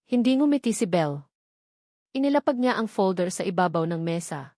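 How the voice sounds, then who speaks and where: A woman's voice: mid-range voice, animated, fairly quick, mellow, no room sound, studio quality. A woman with a Filipino accent reading a scripted love story as a dramatic narrator.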